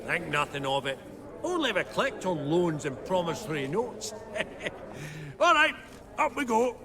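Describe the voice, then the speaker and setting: An actor playing a brash, shrewd fantasy banker with a Scottish accent in a video game, theatrical and chummy. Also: male voice, sits high, big pitch swings, measured pace, crowd noise. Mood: sly, genial.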